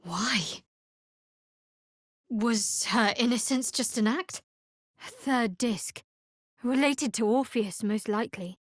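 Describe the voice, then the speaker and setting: A woman playing a guarded young half-elf cleric in a fantasy video game, with a dry, sardonic, measured delivery. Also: a little high, no room sound. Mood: reserved, earnest.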